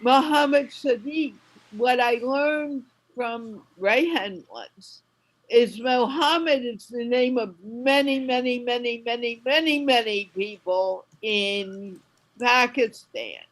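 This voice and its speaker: A woman from the United States hosting a friendly video call chat, asking questions at an unhurried pace. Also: fairly high pitch, low-quality recording. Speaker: host.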